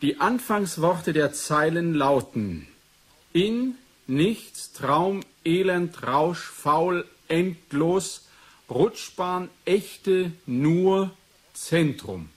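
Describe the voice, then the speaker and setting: An actor playing an analytical, brooding research doctor in a German 1980s science fiction radio play, speaking in a measured, formal way. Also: male voice, fairly high pitch, lively intonation, breathy edge, exaggerated voice. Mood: intent, explaining. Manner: measured, formal, clipped dictation.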